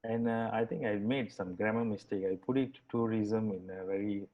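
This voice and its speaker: A man's voice: on the low side, steady tone, normal speed. A man hosting an online webinar, talking casually in a rambling way with many fillers and restarts. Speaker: webinar host. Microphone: video call. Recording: dull video-call audio.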